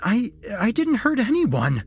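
A man voicing a panicked, deranged young man in an audio story, whining and shouting that he is innocent. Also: a little high, big pitch swings, brisk, gravelly, faint music behind, voice pushed up. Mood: pleading, whining.

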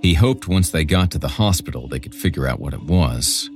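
A man's voice: extremely low pitch, animated, brisk. A man reading a scripted horror story as a podcast narrator, with music behind him.

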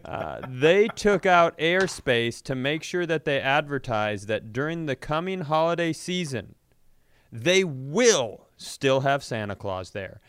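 A man co-hosting a comedy podcast, telling stories casually in a halting, profane way. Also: a little high, animated, a bit bright, smooth, dry recording, clean studio sound. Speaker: podcast co-host.

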